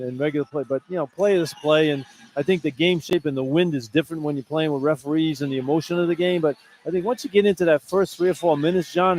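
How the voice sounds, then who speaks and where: American man color commentator, informal, kinda high voice, little noise behind him. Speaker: color analyst. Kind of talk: conversational sports commentary.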